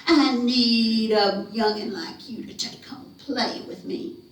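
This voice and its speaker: A woman's voice: a little high, fairly even intonation, slow. A woman voicing a huge hairy male swamp monster in a storytelling session, with a menacing, coaxing manner. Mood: hushed, coaxing. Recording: echoey room, distant mic.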